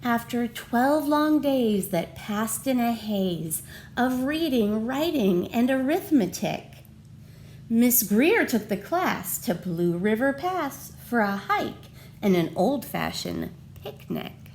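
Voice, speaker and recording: Animated woman reading a storybook, unhurried pace. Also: fairly high pitch, a little hiss or hum. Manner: dramatic, deliberate phrasing. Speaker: storybook reader for children. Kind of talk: expressive picture-book read-aloud with questions to young listeners.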